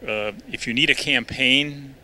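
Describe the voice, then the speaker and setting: A doubtful man in the audience picks at a point from the talk, stumbling a little as he goes. His voice is mid-pitched and very bright. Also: unhurried, poor audio. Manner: doubtful, hesitant. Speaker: audience member. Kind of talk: question from the floor.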